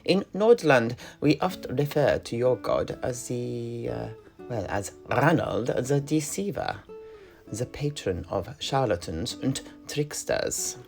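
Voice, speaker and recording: An earnest, courteous fantasy fellow acted by a man speaks very slowly in a mid-pitched voice. He is formal and a little hesitant, with music behind him. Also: expressive, creaky. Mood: earnest, curious. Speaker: listener questioning the storyteller. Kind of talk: in-character fantasy roleplay.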